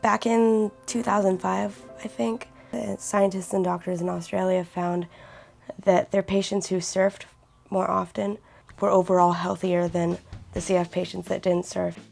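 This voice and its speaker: An eighteen-year-old woman with a raspy voice who keeps clearing her throat, speaking earnestly and reflectively in a documentary interview. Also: medium pitch, level tone, a little slow, a little mellow, faint music behind. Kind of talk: personal documentary testimony.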